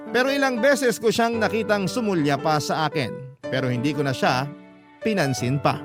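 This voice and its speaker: Male radio drama narrator, fairly high pitch, scripted confessional storytelling over prominent music. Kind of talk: first-person scripted narration of a love story. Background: music bed with violin.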